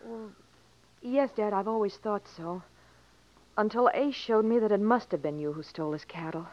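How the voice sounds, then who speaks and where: An actress playing an earnest, trusting young rancher's daughter with an American accent in an old radio western. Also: female voice, medium pitch, moderate pace, lightly acted. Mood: troubled. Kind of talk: old-time radio melodramatic acting. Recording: muffled old radio recording.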